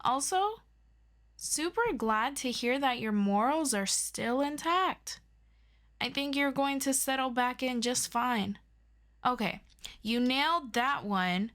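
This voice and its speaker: A woman playing an earnest, excitable superhero best friend in an ASMR audio roleplay, chatty and expressive as she tutors a listener. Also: high-pitched, slow pace. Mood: amazed, delighted, then businesslike, friendly. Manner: chatty and expressive, with interjections and sighs. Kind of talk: ASMR audio roleplay, scripted one-sided conversation.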